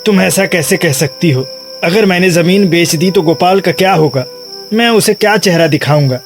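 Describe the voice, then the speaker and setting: A man playing a humble, earnest married villager who wavers, in an animated moral tale. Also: sits high, moderate pace, over music. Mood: troubled. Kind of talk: animated moral bedtime story.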